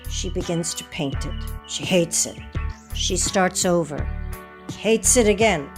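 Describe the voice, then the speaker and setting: A woman reads scripted stage directions for an audio drama. Her voice is mid-pitched and very unhurried, with short deadpan phrases. Music plays clearly behind her. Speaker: narrator reading stage directions. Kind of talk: scripted narration, present tense.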